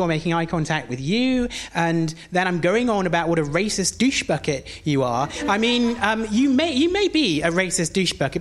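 A woman from North East England performing a spoken word poem on stage, then chatting to the audience between poems. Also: fairly low pitch. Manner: intense measured verse delivery, then chatty asides with fillers. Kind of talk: poetry performance and stand-up patter. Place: live stage before an audience.

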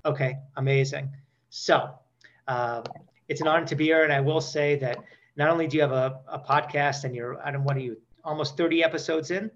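A man in his forties with a fairly high voice hosts a comedic interview show. He jokes at his own expense as he banters. The recording sounds a bit dull and muffled. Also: fairly even intonation, brisk, a bit bright. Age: 40s. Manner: self-deprecating, joking. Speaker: podcast host.

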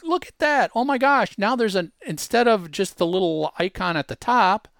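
A man's voice: sits high, animated, normal speed, fairly bright tone, dry recording, studio quality. A man presenting a casual tutorial, explaining step by step in a conversational way with emphatic asides. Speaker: presenter demonstrating a web tool.

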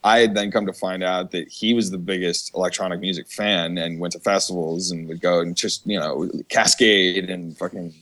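Deep-voiced man chatting casually. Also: fairly even intonation, normal speed, hardly any pauses, fairly bright tone. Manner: slangy, casual swearing. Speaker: podcast guest, music producer. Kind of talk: casual chat.

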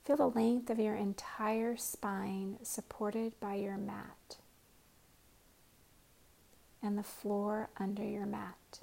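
Soft-voiced woman leading a prayerful body scan, breathy and slow, half whispering. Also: mid-range voice, flat delivery. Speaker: guided meditation teacher.

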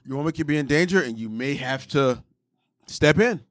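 A man's voice: fairly high pitch, expressive, normal speed, fairly bright tone. A man bantering as a podcast host in a casual, combative, profane and emphatic way, often repeating himself. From Haitian background.

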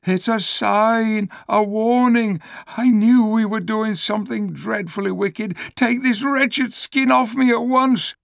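A man voicing a timid, gentle male donkey who frets and pleads in a storybook reading. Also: on the high side, animated, takes it slowly, vocal fry, no room sound, studio quality, pitched above the performer's own voice, full character voice. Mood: alarmed. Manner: anxious, pleading, hesitant. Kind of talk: storybook read-aloud.

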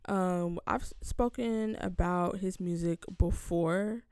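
A woman co-hosting a podcast, casually presenting her segment with a halting, hesitant delivery. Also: fairly low pitch, a little mellow, clear, resonant tone, no room sound, clean studio sound. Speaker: podcast co-host.

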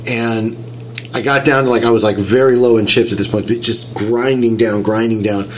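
A man's voice: medium pitch, fairly even intonation, normal speed, a little hiss or hum. A man getting over a cold, sounding nasal and a little whiny, talking through a story in casual chat and explaining things step by step. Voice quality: slightly whiny.

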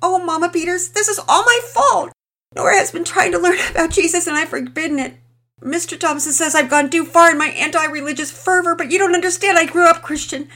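A woman's voice: extremely high pitch, fairly quick, voice pushed up, full character voice. A woman voicing a distraught middle-aged mother, pleading and near tears. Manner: pleading, sobbing, near shouting.

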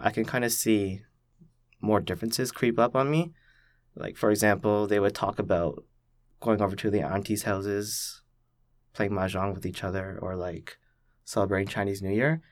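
A young man from Canada, thoughtfully telling his own story as a podcast guest, with many pauses and "like" fillers. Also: fairly low pitch, steady tone, dry recording, clean studio sound. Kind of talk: reflective personal storytelling.

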